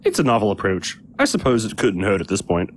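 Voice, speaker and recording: A man playing a measured, mildly resigned prison official in an audio drama. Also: lowish voice, huge pitch swings, a little hiss or hum.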